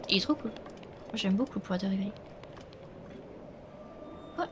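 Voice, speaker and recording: A woman's voice: mid-range voice, expressive, normal speed, slight background noise. A young woman with a stuffy, slightly nasal voice from a cold, chatting casually to viewers at home while she plays a game. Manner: chatty, laughs often, talks to viewers. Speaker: let's play streamer. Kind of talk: casual gameplay commentary. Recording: game music behind the voice. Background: game music.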